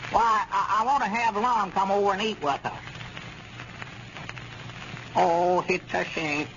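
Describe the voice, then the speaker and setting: A high-pitched, fussy old country storekeeper with a folksy Arkansas hill accent. He is literal-minded and crotchety with a soft heart, speaking in a muddled way. It is a dull, noisy 1930s radio comedy recording. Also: exaggerated voice. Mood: kindly, folksy. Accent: rural Southern US, Arkansas hill country. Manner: folksy, flustered, muddled stammering.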